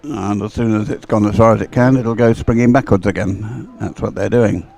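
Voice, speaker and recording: A man's voice: lowish voice, fairly even intonation, moderate pace, gravelly, a little mellow. A man giving live race commentary in a rambling, hesitant way, as if thinking aloud.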